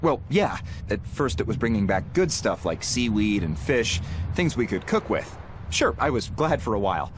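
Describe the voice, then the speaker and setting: A man voicing an anxious, complaining young pirate crewman in an English anime game dub, with an exasperated, excitable delivery. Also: fairly low pitch, normal speed, background noise. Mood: worried, complaining.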